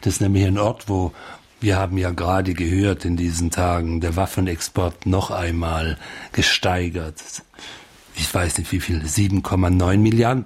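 A low, husky-voiced man gives an informal commentary. He retells and interprets in a colloquial, wry way, as if thinking aloud. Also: a little mellow.

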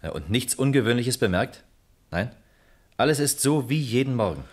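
An actor playing a sceptical, probing police lieutenant with clipped questioning in a 1960s radio crime drama. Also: male voice, medium pitch, lively intonation, normal speed, lightly acted. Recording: slightly noisy old radio drama.

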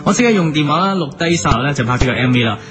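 A man's voice: a little high, animated, racing. A man with a Hong Kong Cantonese accent, chatting comically as a boy band member on a music show. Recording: music behind, radio-like sound.

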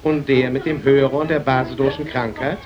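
A man's voice: mid-range voice, steady tone, brisk, lightly acted. A man playing a jealous, mocking young lover in an old radio drama. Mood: sardonic mockery. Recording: dull old radio recording with music behind.

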